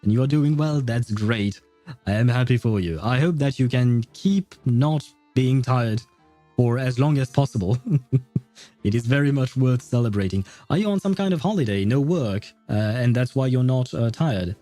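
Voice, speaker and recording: Mellow, chatty man livestreaming a game. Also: mid-range voice, lively intonation, moderate pace, soft background music. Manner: chuckles often, rambling and friendly. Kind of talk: casual stream chat and game commentary, reading dialogue aloud.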